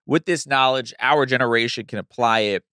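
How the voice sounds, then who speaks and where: A man hosts a casual podcast interview, full of encouragement and quick affirmations. He stumbles and restarts his phrases as he goes. The sound is dry and close, with no room echo. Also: medium pitch, pushed and bright, smooth. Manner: enthusiastic, affirming, talks in restarts. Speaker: podcast host.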